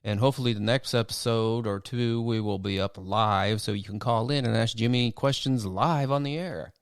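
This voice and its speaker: A man with a very deep voice hosts a casual podcast chat. He rambles and trails off in the middle of his thoughts. The recording is dry and close. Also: moderate pace, clear, resonant tone, no echo. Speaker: podcast host.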